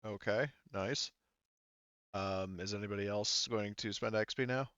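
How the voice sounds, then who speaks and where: Clear-voiced man running a game, slightly muffled. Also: on the low side, fairly even intonation, unhurried, fairly bright tone, dry recording. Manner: swears casually. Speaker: game master. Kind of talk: casual table talk wrapping up a roleplaying session.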